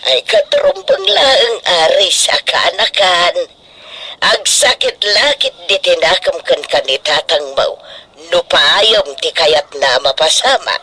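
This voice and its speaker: An actress with a northern Philippine accent playing a caring, reassuring middle-aged auntie in a radio drama. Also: female voice, on the low side, very animated, slow pace, sharp tone, exaggerated voice, low-quality recording. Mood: supportive.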